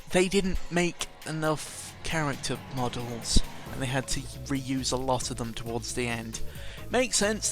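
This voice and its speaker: Music plays clearly behind a man with a mid-pitched voice. He talks casually as a gaming vlogger, rambling and doubling back on himself, with jokey exaggerated emphasis. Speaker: video game vlogger. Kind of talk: casual rambling audio log commentary on games. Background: clear background game music.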